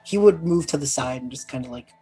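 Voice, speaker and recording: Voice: medium pitch, lively intonation, fast, dark tone, breathy edge. A tabletop game player chatting casually and loosely describing what their character does. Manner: loose, describes character's actions in third person. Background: background music.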